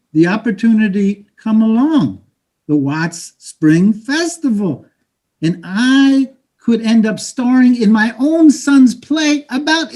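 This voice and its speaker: A man plays a middle-aged immigrant father in a staged play reading. He is proud and scheming, speaks slowly, and presses his case with emphatic pleading. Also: high voice, a little mellow, full character voice.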